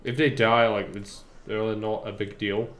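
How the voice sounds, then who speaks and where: A man streaming a game live, chatting in a calm, relaxed way with his viewers. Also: fairly low pitch, moderate pace. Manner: relaxed, chatty, addresses chat. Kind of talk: casual live gameplay commentary.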